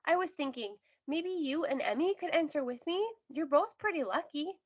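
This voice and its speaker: An earnest young boy from a storybook, performed by a woman, hopeful of winning and animated in delivery. The pitch is raised very high, in a slightly dull recording. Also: moderate pace, no echo. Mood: wistful, hopeful. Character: wistful young boy longing to win something. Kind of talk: children's storybook read-aloud.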